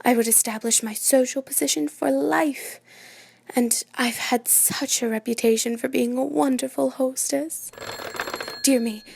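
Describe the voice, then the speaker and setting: A woman playing an excitable, imperious New York society hostess and mother in a radio play, chattering and dramatic. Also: sits high, unhurried, vocal fry, husky, no room sound, heavily acted. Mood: exasperated, gushing.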